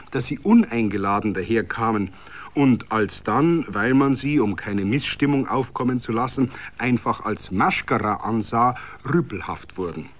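A man narrating a literary story for radio. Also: mid-range voice.

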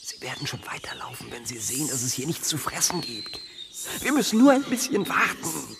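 An actor playing a panicky, defensive man on trial in a radio play, excitable and stammering as he justifies himself. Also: medium pitch, a little fast, vocal fry, a crowd in the background. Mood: hushed, nervous. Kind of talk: German radio play acting.

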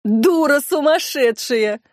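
A woman's voice: high-pitched, expressive, takes it slowly, darkish tone, dry recording, studio quality, pitched above the performer's own voice. Woman playing a haughty, scornful official's wife. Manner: dismissive, scornful. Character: haughty, evasive vice-governor's wife, dismissive and scornful.

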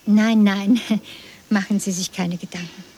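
A woman's voice: mid-range voice, fairly even intonation, a little slow, warm tone, a little hiss or hum, natural voice. An actress playing a polite, helpful woman welcoming a guest in a radio drama, courteous and reassuring.

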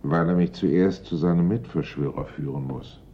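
An actor in a German radio drama playing a dogged police investigator, grave, suspicious and deliberate in his reasoning. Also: lightly acted. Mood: brooding. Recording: dull old radio recording, noisy rumble.

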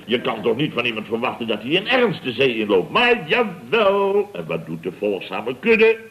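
An actor playing a blustering, self-important building contractor boss in a vintage radio comedy, with a pompous, rambling way of telling things. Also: male voice, medium pitch, very animated, normal speed. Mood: indignant, boastful. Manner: pompous, rambling, chuckling raconteur with exclamations. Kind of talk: 1960s-70s Dutch radio comedy acting. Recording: dull vintage radio recording.

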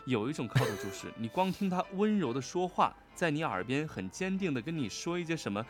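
A man's voice: on the high side, very animated, brisk, soft background music. A man co-hosting a casual livestream, talking effusively and often repeating his words of agreement. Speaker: voice actor co-hosting a livestream about an audio drama. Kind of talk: casual live chat.

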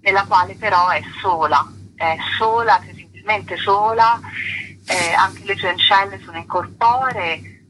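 A woman, an artist explaining their work in an interview in a reflective, searching way, often halting and repeating words. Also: medium pitch, a bit bright, slight background noise, low-quality recording.

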